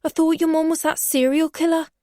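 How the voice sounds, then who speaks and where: A woman's voice: very high voice, level tone, fairly quick, mellow, dry recording, studio quality, voice pushed up. A woman voicing a sly young barman. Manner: casual, wheedling, slangy.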